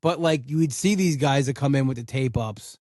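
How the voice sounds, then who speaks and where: A man's voice: medium pitch, moderate pace, a little mellow, no echo, studio quality. A man in his forties who grew up in an Italian household, hosting a podcast in casual, rambling chat. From Italian-American household. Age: 40s. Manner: rambling, full of "like" and restarts. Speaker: podcast host.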